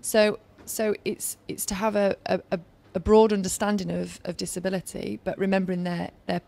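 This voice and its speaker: A woman's voice: mid-range voice, moderate pace, gravelly. A woman in her thirties with a Yorkshire accent, answering interview questions in an earnest, advice-giving way. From Yorkshire, England. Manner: earnest, advice-giving, talks in a steady flow. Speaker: interviewee, former Olympic organising staff.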